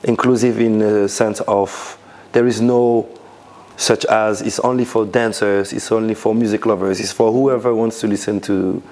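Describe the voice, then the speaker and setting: Man, low voice, gravelly, rambling restarts, casual interview. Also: fairly even intonation, normal speed, darkish tone. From Senegal, raised in France. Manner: loose, searching phrasing with many restarts. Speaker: dancer, DJ and event founder.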